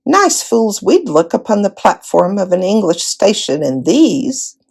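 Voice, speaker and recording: A woman voicing a brisk, practical older-sister girl in a storybook, knowing and matter-of-fact. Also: mid-range voice, very animated, gravelly, a little mellow, breathy edge, full character voice.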